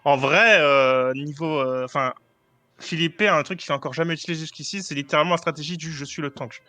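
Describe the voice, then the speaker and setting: A man's voice: on the high side, fairly quick, pushed and bright, dry recording, faint music behind. Dry-miked joking male player. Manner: joking, laughs at his own lines. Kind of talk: casual chat among tabletop players.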